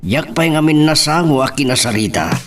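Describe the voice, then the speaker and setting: A man's voice: a little high, animated, normal speed, fairly bright tone, soft background music. A man with an Ilocano Filipino accent acting a concerned, probing middle-aged father in an audio drama. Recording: slightly distant, faintly noisy.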